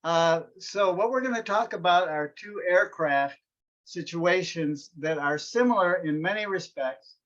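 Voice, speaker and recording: A man hosting an interview, setting up the topic clearly and explaining points. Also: high-pitched, measured pace, a bit bright, highs cut off. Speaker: interview host. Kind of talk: interview on aviation.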